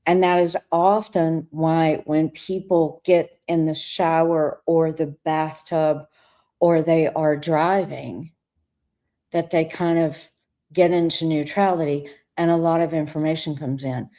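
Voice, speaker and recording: A woman's voice: sits low, steady tone, unhurried, dark tone. A woman hosting a podcast, talking in a reflective way about stillness and guiding the listener through ideas. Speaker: podcast host. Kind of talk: conversational spiritual talk.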